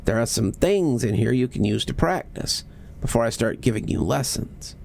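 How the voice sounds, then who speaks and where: A man's voice: mid-range voice, expressive, moderate pace, creaky, darkish tone, slight background noise. A man voicing a sinister small creature in a horror story, falsely friendly, coaxing and insistent.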